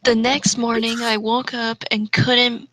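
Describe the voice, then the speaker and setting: A woman's voice: on the high side, flat delivery, unhurried, pushed and bright, no room sound, rough recording. A woman co-hosting a casual fanfiction reading, switching between reading aloud and chatting. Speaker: co-host.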